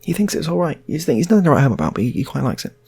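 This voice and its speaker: British man, husky creaky voice, rambling improvised storytelling, casual, repetitive. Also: fairly high pitch, big pitch swings, racing, a little mellow.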